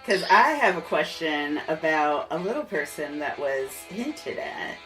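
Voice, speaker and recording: Bright-voiced woman hosting a casual interview. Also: low voice, very animated, a little slow. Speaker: podcast host, interviewer. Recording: slightly distant mic, faint music.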